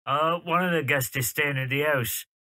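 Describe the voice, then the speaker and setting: A man's voice: fairly high pitch, moderate pace, pushed and bright, dry recording. A man playing a plain-spoken, chatty coachman in an audio drama, with folksy dialect. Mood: deferential.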